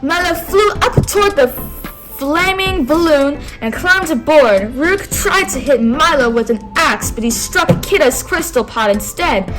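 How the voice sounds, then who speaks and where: A woman reading a picture book aloud in a clear, teacherly way, pausing to have a child repeat key words. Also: high voice, animated, music in the background, low-quality recording. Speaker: read-aloud host.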